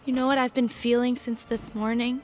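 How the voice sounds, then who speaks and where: A woman plays a modern teenage girl in a fantasy audio drama. Her voice is very high, emotional and confessional, with faint music behind it. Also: little pitch movement, normal speed, natural voice. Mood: intense, confessional. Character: teenage girl from modern America, shaken yet thrilled.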